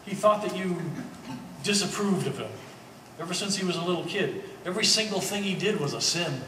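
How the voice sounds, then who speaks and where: A man playing an earnest, plain-spoken man in a stage play, speaking haltingly and repeating words. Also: high-pitched, expressive, sharp tone. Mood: reproachful. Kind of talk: one-act stage play performance. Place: art gallery, live staged reading. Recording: echoey gallery hall, distant mic, hiss.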